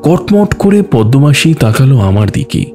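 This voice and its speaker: A man narrating a scripted audio story in a measured, expressive, dramatic way. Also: mid-range voice. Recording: music behind the voice. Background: background music.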